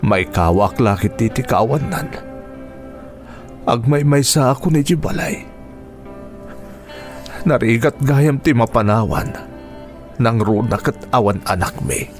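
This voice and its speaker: A male actor playing a lonely, grieving widowed teacher, gentle and resigned, in an Ilocano radio drama with a slow, reflective delivery. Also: mid-range voice, lively intonation, soft background music, lightly acted. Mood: mournful, lonely.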